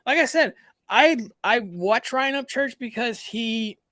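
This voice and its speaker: A man's voice: very high-pitched, expressive, slow, pushed and bright, no echo. A man from the American South, hosting a casual livestream podcast chat, rambling and repeating himself as he talks to his viewers. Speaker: podcast host.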